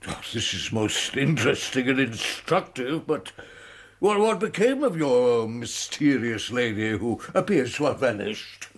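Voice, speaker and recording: Elderly professor, formal, genial but wary, slow talker, radio play. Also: male voice, medium pitch, expressive, husky. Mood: urbane, wary. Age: older. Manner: urbane, formal, chuckling host. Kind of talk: British radio drama acting.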